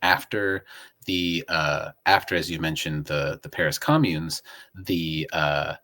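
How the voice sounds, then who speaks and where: A man's voice: rumbling low, fairly even intonation, takes it slowly, bright, forceful tone. A man co-hosting a casual discussion show, explaining things in a discursive, thinking-aloud way. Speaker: co-host of a local civic affairs show.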